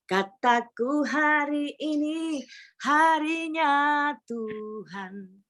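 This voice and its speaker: A woman's voice: high-pitched, fairly bright tone. A woman leading a women's church service, calling out songs and praise and drawing out her words in a sing-song manner. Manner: drawn-out, sing-song calls to the congregation. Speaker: worship leader. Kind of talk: leading congregational singing and praise.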